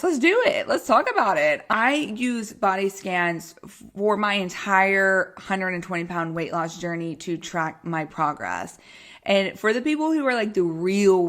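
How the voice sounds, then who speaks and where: A woman's voice: medium pitch, fairly bright tone. Bubbly Texan woman chatting casually. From Texas, United States. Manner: bubbly, rambling, informal, self-deprecating. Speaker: podcast host and health coach. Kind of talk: casual solo podcast chat.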